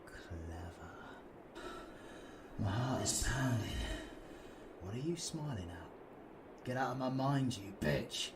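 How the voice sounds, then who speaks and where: A man playing a cocky, menacing, flirtatious British supervillain in an intimate roleplay audio drama, breathy and taunting. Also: medium pitch, lively intonation, slow pace, long pauses, background noise. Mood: threatening. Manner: taunting, breathy, swings between whispering and shouting.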